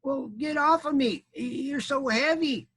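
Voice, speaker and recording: A man's voice: very high voice, slow, a bit bright, pitched above the performer's own voice, heavily acted. A man voicing a grumpy, indignant armadillo in a children's story, complaining as he talks. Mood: pained complaining. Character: grumpy armadillo stepped on by a knight.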